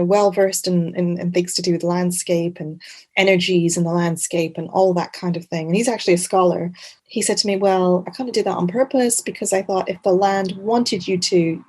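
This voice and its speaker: Earnest, halting woman in interview. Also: lowish voice, darkish tone, slightly rough. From Montreal, Canada. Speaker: interview guest, author and journalist. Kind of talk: reflective conversational interview.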